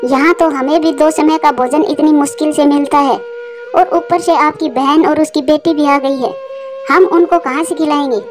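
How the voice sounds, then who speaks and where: A woman as a high-voiced nagging wife bird. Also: steady tone, moderate pace, over music, natural voice. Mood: annoyed, scolding. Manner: scolding, complaining. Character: resentful, scolding sister-in-law bird, a nagging wife. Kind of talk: Hindi moral-story cartoon dialogue.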